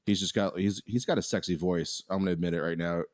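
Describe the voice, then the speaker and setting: Deep-voiced man arguing animatedly. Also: fast. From United States. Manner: animated, opinionated, many restarts. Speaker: podcast co-host. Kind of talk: casual podcast chat.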